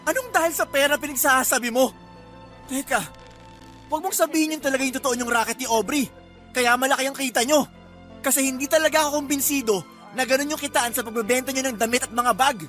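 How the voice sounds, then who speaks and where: A man's voice: very high voice, fast talker, fairly bright tone. A man playing an indignant, hot-tempered cheating boyfriend with a Filipino accent, agitated and demanding in a radio melodrama. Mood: suspicious, demanding. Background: dramatic music.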